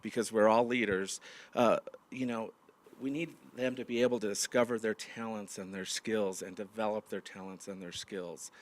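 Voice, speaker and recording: A man's voice: on the low side, fairly even intonation, normal speed. A man who is losing his voice, hosting a staff meeting as county manager and speaking to a large audience with many fillers and restarts. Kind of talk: opening remarks to a large audience.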